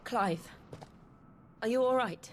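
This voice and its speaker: A woman playing a gentle, loyal young companion in a video game drama, speaking softly and earnestly. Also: on the high side, slow pace, a little hiss or hum. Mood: concerned. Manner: soft, earnest, caring.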